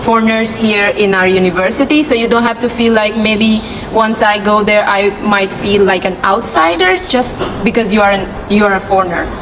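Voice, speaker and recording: A woman's voice: medium pitch, fairly quick, hardly any pauses. A young woman from India with an Indian accent, hosting a casual question-and-answer chat. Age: young adult. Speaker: host of a student discussion. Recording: noisy room with steady ambient hum.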